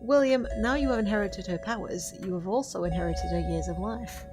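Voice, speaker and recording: An actress playing a centuries-old witch in an audio drama, gracious and quietly sorrowful, with formal, measured diction. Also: medium pitch, close to an everyday voice. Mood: matter-of-fact. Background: music.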